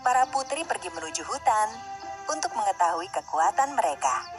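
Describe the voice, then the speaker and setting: A woman's voice: medium pitch, sing-song, fairly quick. A woman narrating a scripted fairy tale for children. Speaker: storyteller, narrator. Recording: music under the voice. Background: music.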